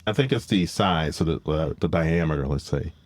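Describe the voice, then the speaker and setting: A man's voice: extremely low pitch, animated, normal speed, no room sound, clean studio sound. A man hosting a podcast, explaining things in an informal, rambling way with self-corrections. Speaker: podcast host, biologist. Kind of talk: casual explanatory podcast talk.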